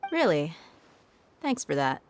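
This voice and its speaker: A woman's voice: sits low, sing-song, slow, exaggerated voice. A woman playing a confident, laid-back young desert mercenary in a video game, frank and assured. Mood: relaxed, assured. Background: faint music.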